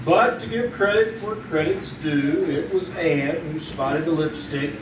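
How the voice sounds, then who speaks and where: A man's voice: on the high side, animated, unhurried, noisy background. Man playing a wry radio-drama newsman, roomy and distant. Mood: wry, assured. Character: quick-witted male newspaper crime photographer, confident, wry. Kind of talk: 1940s radio drama acting. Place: live theater stage. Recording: roomy, distant stage recording with music.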